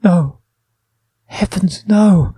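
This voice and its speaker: A man playing an anxious, devoted young husband in an audio drama, husky, worried and earnest. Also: high voice, very slow, dark tone, no room sound, exaggerated voice. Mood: alarmed.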